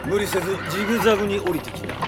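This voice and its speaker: An actor playing an easygoing, teasing fighter in a Japanese radio drama, with a casual, rough way of talking. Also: male voice, high voice, very fast, loud music behind the voice, lightly acted.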